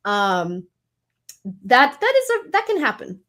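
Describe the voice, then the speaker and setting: A woman's voice: mid-range voice, a little slow. A woman, a guest scientist talking frankly and animatedly on a remote interview call. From Mississippi Gulf Coast. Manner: frank and animated, swears casually, laughs often. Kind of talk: casual interview chat.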